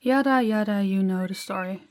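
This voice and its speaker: A woman playing a proud, guarded young princess in an intimate audio roleplay, speaking in a measured, formal, slightly awkward way to the listener. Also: medium pitch, a little mellow, natural voice. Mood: sympathetic, candid.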